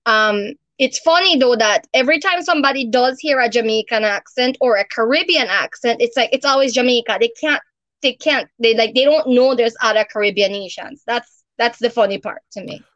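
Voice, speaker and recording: A woman from Belize with a Belizean Creole accent. She talks at a fairly high pitch in a relaxed, explanatory way and laughs easily. The recording is dry and slightly muffled. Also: fairly quick, no room sound. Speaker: guest. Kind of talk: casual podcast conversation.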